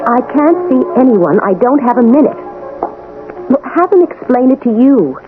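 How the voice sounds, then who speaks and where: Brisk, animated lady doctor. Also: high-pitched, music in the background. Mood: harried, impatient. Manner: clipped, authoritative, formal. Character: brisk, busy female head doctor, principled. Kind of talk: 1980s radio drama acting. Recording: tinny, noisy old radio broadcast.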